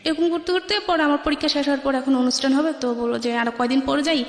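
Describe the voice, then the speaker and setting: A woman with a high voice and a Bangladeshi accent shares a personal story as a guest. She speaks colloquially, replaying conversations by quoting each side. The recording is very echoey, with a long reverb tail. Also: steady tone, fast. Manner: recounts conversations by quoting both sides. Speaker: guest telling her life story.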